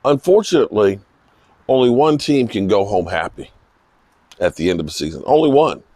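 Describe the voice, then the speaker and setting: A man with a slightly hoarse voice giving unscripted, emphatic sports commentary, pausing mid-sentence for emphasis. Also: lowish voice, big pitch swings, warm tone, dry recording. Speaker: football vlogger giving post-game reaction. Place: outdoors in misty rain.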